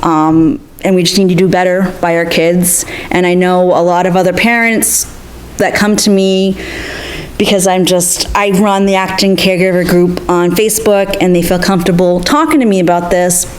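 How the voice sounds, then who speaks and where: A woman's voice: on the low side, fairly even intonation, moderate pace, slight background noise, poor audio. A young woman speaking as a new school committee candidate and parent, earnest and plain-spoken with frequent ums. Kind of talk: candidate forum answer.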